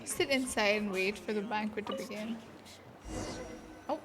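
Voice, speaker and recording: A woman's voice: mid-range voice, moderate pace, background noise. A woman streamer giving casual, wry reaction commentary while playing a game. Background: game music.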